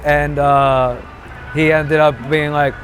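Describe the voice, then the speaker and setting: Higher-voiced man telling rambling stories. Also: little pitch movement, takes it slowly, a bit bright, slight background noise, poor audio. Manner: rambling storyteller with swearing. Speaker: comedian guest. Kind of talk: casual street chat. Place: outdoors on a city street.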